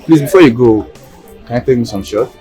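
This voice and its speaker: A woman's voice: a little fast, warm tone, faint music behind. A woman playing a stern male restaurant manager who scolds and fires a clumsy worker. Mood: polite. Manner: stern, scolding, low put-on voice.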